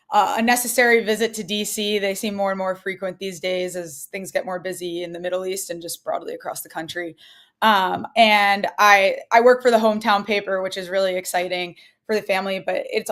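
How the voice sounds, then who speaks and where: Brief: young female journalist from Boston, relaxed conversational guest, mid pitch. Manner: conversational with fillers and restarts. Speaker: newspaper writer, guest. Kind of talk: casual interview answers.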